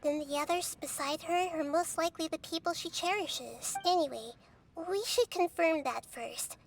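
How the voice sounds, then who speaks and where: High, gentle, wise child goddess. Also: female voice, gravelly. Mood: calm, analytical. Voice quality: soft, childlike. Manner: measured, thoughtful, articulate. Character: gentle, wise childlike girl deity, composed. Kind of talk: animated video game voice acting.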